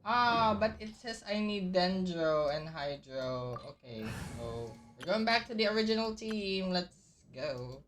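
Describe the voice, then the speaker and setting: Slow-talking, lowish-voiced woman streaming a game. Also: expressive, recorded from a distance, soft background music. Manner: thinking aloud, muttering to self. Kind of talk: casual gameplay commentary.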